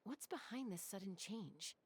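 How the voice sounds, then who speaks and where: A woman's voice: mid-range voice, animated, a little slow, a little hiss or hum, close to an everyday voice. An actress playing a caring, composed young warrior woman in a video game, speaking in a casual, matter-of-fact way. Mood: concerned. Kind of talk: video game character acting. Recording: faint music behind the voice.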